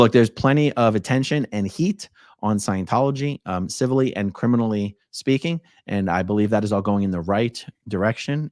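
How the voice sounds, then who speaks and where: Guy with a lowish voice doing live stream chat, blunt and opinionated, really dry sound. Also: a little mellow, dry recording. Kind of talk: casual live commentary, reading viewer messages aloud.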